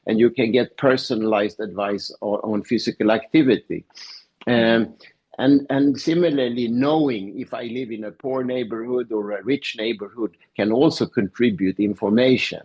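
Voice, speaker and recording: Man, guest professor, husky voice, explanatory, uses personal examples. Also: mid-range voice, normal speed, a little mellow, lo-fi sound. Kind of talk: conversational interview.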